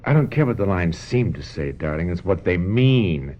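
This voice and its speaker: An actor playing a domineering, manipulative film director in a radio mystery drama, speaking in an insistent, patronizing and emphatic way. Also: male voice, low-pitched, sing-song, moderate pace. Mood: forceful, impatient. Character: domineering, manipulative male film director pressing a young actress. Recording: muffled, noisy old radio recording.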